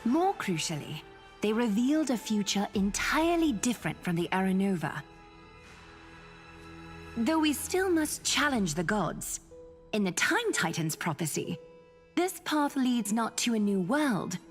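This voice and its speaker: An actress playing a solemn, dignified noblewoman weaver in a fantasy game, speaking softly in measured, formal phrases. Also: a little high, sing-song. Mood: solemn, portentous. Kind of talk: scripted game voice acting. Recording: long reverb, music behind.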